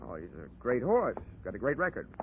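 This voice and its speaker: An actor playing a dry, wisecracking hard-boiled investigator in a 1940s radio drama. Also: male voice, mid-range voice, wildly swooping pitch, brisk, slight background noise. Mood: cool. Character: wisecracking hard-boiled insurance investigator, narrating his case. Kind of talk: 1940s radio acting, narration and dialogue. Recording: tinny 1940s radio recording with music.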